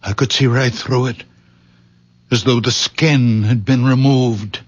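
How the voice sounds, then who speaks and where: Slow-spoken humble very old man. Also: medium pitch, fairly even intonation. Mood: hushed wonder. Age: elderly. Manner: halting, plain-spoken, repeats himself. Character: very old man, humble quiet healer with visions. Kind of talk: 1970s radio drama acting. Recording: muffled, noisy old radio broadcast recording.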